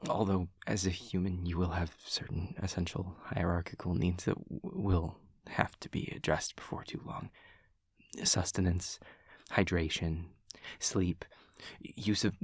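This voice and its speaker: A man playing an awkward, earnest young demon classmate, speaking softly and breathily with hesitant stammers and stiffly formal wording in an intimate audio roleplay. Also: sits low, level tone, slow, pauses often, no room sound, clean studio sound, heavily acted. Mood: earnest, literal. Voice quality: soft, breathy, husky. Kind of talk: intimate audio roleplay, whispery ASMR-like acting.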